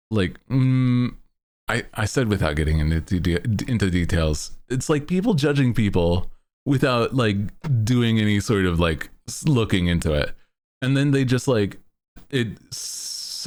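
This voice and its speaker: A man hosting a livestream, chatting casually with viewers in a rambling, jokey, self-deprecating way. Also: lowish voice. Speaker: VTuber livestream host. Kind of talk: casual chat with stream viewers.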